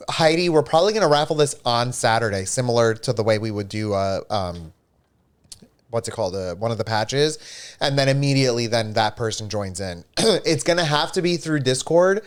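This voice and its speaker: A man's voice: mid-range voice, clear, resonant tone. A man co-hosting a livestream, chatting casually with lots of fillers and an upbeat manner. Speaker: livestream co-host.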